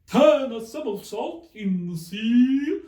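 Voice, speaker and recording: A man voicing a mournful, excitable turtle creature from a storybook, with slow, drawn-out, emphatic delivery. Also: very high-pitched, pitched above the performer's own voice, full character voice. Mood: excited. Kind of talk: theatrical storybook character reading. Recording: roomy echo, distant microphone.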